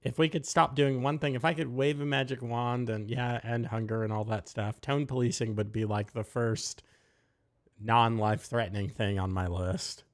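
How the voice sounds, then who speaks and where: A man's voice: medium pitch, fairly even intonation. A man co-hosting a live talk show, giving blunt, opinionated takes in casual chat. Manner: blunt, opinionated, quick asides. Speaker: podcast co-host.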